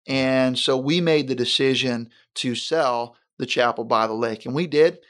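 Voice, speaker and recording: A man speaks as a pastor in a conversation, in a mid-range voice. He talks in an earnest, deliberate way and often slows down to stress a point. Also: mid-range voice, fairly bright tone. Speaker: senior pastor. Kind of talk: conversational interview.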